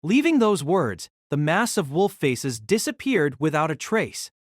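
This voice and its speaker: A man narrates an audio drama from a script. His voice sits fairly high for a male, and the sound is close and dry. The delivery is dramatic storytelling. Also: very animated, a little slow, dry recording.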